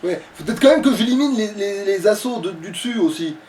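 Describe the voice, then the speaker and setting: High-pitched man, slightly distant from the mic. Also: animated, a little fast, a little mellow. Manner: crude, mock-complaining, repeats himself. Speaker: let's play player commentating. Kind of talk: casual gaming banter. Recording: distant mic, faint background noise.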